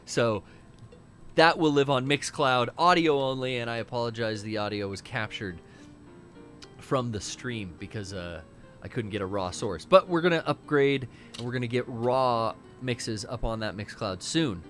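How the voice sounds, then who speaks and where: Stream co-host, man, loose and swearing, with low music under the talk. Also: normal speed, a bit bright. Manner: loose, profane, rambling. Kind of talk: casual stream chat while gaming.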